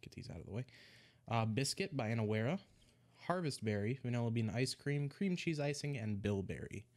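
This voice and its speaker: A young man talks into a desk microphone in a small room at home, his voice slightly congested. He rambles in a relaxed, easygoing way, often restarting his words, at an ordinary middle pitch. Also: brisk. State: a little congested. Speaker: e-liquid mixing vlogger. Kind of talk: casual how-to vlog. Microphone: desk microphone in front of him.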